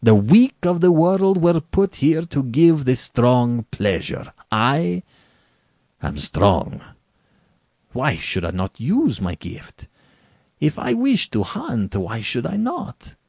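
Guy doing a smug upper-class general who hunts people, suave n condescending, pitch all over, dry close sound. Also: no echo, full character voice. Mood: haughty, impassioned. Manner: bland, suave, precisely worded condescension. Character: urbane, arrogant male aristocrat general who hunts men.

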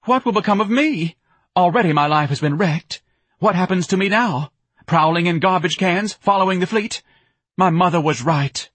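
Melodramatic storybook wife, high-pitched and accusatory, forever wailing over her ruined life; a male narrator plays her. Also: animated, a little fast, husky, dry recording. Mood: self-pitying wail. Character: melodramatic, nagging, self-pitying middle-aged wife.

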